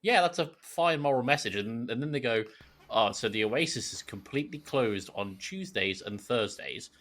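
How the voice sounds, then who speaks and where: Male co-host, animated pitch swings, joking, sarcastic, casual banter. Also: normal speed. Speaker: podcast co-host. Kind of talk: casual comedic chat.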